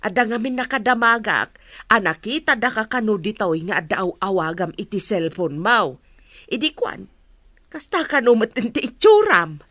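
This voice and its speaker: A woman's voice: medium pitch, big pitch swings, moderate pace, fairly bright tone, no echo. An actress with a Filipino accent playing a suspicious, nagging older mother who questions and scolds, in a radio drama. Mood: probing. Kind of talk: Ilocano radio drama acting.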